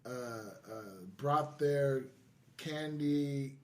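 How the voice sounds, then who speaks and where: A man's voice: mid-range voice, very slow, a little mellow. A man co-hosting a casual podcast chat, talking in an enthusiastic, effusive way. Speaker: podcast co-host.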